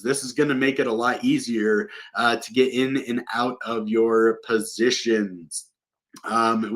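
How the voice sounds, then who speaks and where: A man's voice: mid-range voice, a bit bright. A young man with a cold, casually talking through crypto market news as a channel host, with lots of ums and uhs. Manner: rambling, many ums and uhs. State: sick with a cold.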